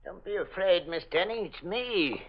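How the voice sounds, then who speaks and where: A man's voice: high-pitched, expressive, moderate pace, noisy background. A man playing a crazed night watchman in an old radio mystery, insistent and coaxing. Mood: eerily reassuring. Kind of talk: 1940s radio mystery acting. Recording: dull old radio broadcast recording.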